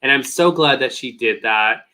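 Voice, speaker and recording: A man co-hosting a casual review chat, earnest and halting, losing his voice. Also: mid-range voice, bright, forceful tone. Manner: earnest, halting, many "um" and "uh" restarts. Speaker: podcast co-host.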